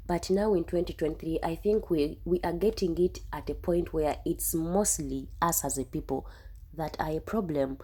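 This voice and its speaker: Young woman, reaction host, earnest and emphatic, low mellow voice. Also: slightly rough. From Uganda. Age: young adult. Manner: earnest, emphatic, often repeats herself. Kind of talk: casual reaction commentary.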